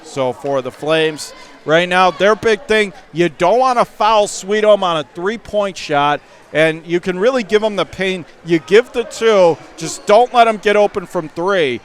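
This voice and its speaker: A man doing live radio play-by-play of a high school basketball game from the gym, emphatically restating the score and clock. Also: unhurried. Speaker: play-by-play sports announcer.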